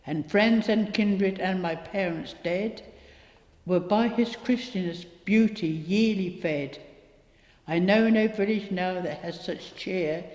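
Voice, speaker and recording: A woman reciting rhyming poetry in a measured reading style. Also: medium pitch, a little mellow. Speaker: poetry reader. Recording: echoey hall with long reverb.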